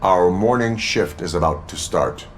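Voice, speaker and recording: A man acting a stoic cyborg police officer in a video game, speaking in terse, deadpan phrases. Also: deep voice, measured pace, a little hiss or hum. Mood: stoic, measured.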